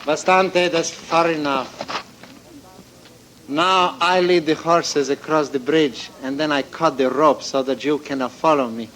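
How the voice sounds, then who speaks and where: An actor playing a menacing Mexican ex-army sergeant turned bandit in a 1940s radio drama, mock-polite and deliberate, with a Spanish accent. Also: male voice, on the high side, fairly even intonation, a bit bright, low-quality recording. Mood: smug, threatening.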